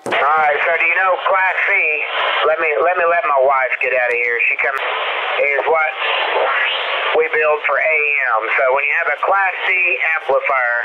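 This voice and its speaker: A man's voice: a little high, normal speed. A man giving an informal, instructive technical explanation over two-way radio, often repeating his key points. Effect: two-way radio transmission. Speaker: radio operator explaining amplifier theory. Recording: muffled radio transmission with loud static.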